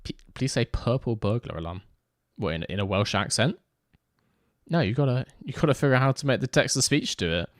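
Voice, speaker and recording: A man's voice: mid-range voice, expressive, brisk, no room sound, clean studio sound. A young man streaming a racing game, chatting casually and joking with his chat as he reads it. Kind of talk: casual gaming livestream chat.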